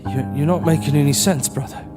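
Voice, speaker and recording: An actor playing a wary, earnest and protective brother with a temper in an audio horror drama. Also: male voice, fairly high pitch, fairly even intonation, a little fast, lightly acted. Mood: uneasy pleading. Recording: music under the voice.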